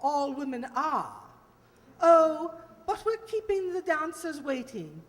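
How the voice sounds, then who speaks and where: An actress playing a rich English dowager in a radio drama, breezy and commanding, with a grand, emphatic delivery. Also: female voice, sits high, big pitch swings, takes it slowly, exaggerated voice. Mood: breezy, triumphant. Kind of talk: old-time radio drama acting. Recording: echoey hall, long reverb.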